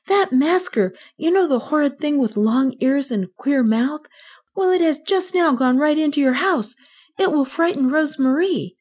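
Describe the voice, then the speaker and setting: A woman's voice: high voice, animated, normal speed, a little mellow, voice pushed up. A woman voicing a determined little storybook boy, troubled and earnest, pleading urgently. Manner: urgent, insistent.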